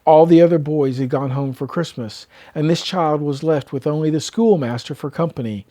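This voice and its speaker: An older man narrating a children's storybook as storyteller, with expressive, measured read-aloud delivery. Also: fairly high pitch, dry recording.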